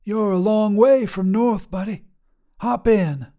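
A man's voice: high-pitched, slow pace, dark tone, pitched above the performer's own voice. A man voicing a wiry, mocking woman trucker who turns out to be a demon, with a drawling, teasing, folksy manner. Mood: sly teasing.

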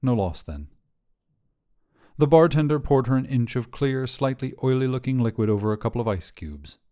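A man narrates a short story in a mellow, soft-edged voice of medium pitch. His reading is scripted and expressive, with lively rises and falls in intonation. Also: normal speed.

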